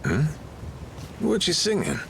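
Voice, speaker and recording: An actor voicing a brash, playful and encouraging pirate captain in a video game, with theatrical delivery. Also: male voice, fairly high pitch, huge pitch swings, slow, creaky, a bit bright, slight background noise, exaggerated voice.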